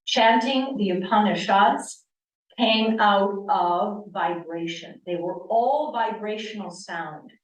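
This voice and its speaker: A woman's voice: mid-range voice, roomy sound, distant mic, low-quality recording. Earnest woman in her sixties teaching yoga. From Connecticut, US East Coast. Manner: earnest, animated, asks rhetorical questions. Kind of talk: church talk on yoga. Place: church hall.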